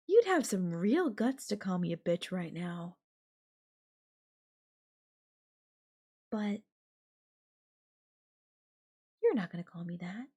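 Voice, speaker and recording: A woman acting a slow-talking, possessive yandere girlfriend. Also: on the high side, a little mellow, slightly rough, full character voice. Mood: soothing, menacing. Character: obsessive, possessive yandere girlfriend, sweetly menacing kidnapper. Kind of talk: intimate audio roleplay acting.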